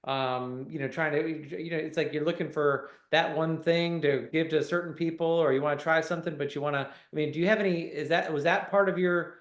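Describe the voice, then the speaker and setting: A man's voice: fairly high pitch, fairly even intonation, fairly quick, hardly any pauses, reverberant. A man hosting a video call, asking a guest friendly, rambling questions with plenty of fillers. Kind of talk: casual chat on a video call.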